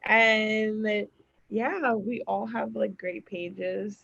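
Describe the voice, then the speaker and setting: A woman's voice: mid-range voice, steady tone, very slow, gravelly, a bit bright, lo-fi sound. A woman chatting casually and earnestly as an encouraging podcast guest. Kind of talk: casual chat. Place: remote group call.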